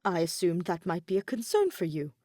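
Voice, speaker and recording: A woman's voice: lowish voice, very animated, a little fast, mellow, dry recording, clean studio sound. A woman voicing an earnest, nervous young nobleman who speaks formally and insistently in a fan fiction audiobook. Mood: eager, formal. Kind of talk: audiobook-style fan fiction character reading.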